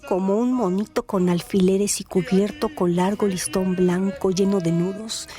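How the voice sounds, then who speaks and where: A woman, narrating scripted stories and commentary in a theatrical, deliberate manner. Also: medium pitch, a little mellow. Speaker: narrator and host of a radio storytelling series.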